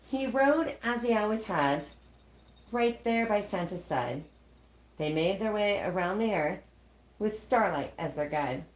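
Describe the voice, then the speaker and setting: A woman storyteller reads rhyming children's verse at an unhurried pace. Her pitch swings widely, and she leaves dramatic pauses between phrases. The room gives her voice a noticeable echo. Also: lively intonation. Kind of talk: expressive read-aloud of a rhyming children's storybook.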